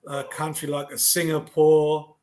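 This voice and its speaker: A man, teaching over a video call in relaxed, chatty talk. He laughs often and trails off with fillers. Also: fairly high pitch, takes it slowly, slight background noise. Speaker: online English tutor. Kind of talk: casual conversation lesson.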